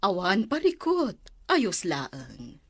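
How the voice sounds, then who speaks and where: A woman's voice: mid-range voice, wildly swooping pitch, slow pace, breathy edge, no echo, studio quality, full character voice. Gracious, kindly lady boss. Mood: grateful. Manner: polite, obliging.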